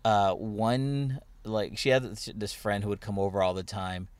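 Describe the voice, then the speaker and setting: Young guy with a lowish voice rambling through funny stories, dry close sound. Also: steady tone, moderate pace, no echo. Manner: rambling storytelling, many restarts and fillers. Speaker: podcast host. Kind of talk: casual chat.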